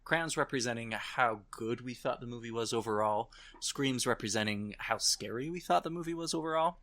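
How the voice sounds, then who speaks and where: A man's voice: medium pitch, moderate pace, a bit bright. A man chatting casually as a podcast co-host, informal and joking.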